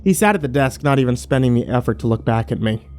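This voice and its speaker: A man playing a grim, fearful survivor, narrating his ordeal in a brooding, measured first-person horror style. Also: medium pitch, animated, darkish tone, natural voice. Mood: horrified.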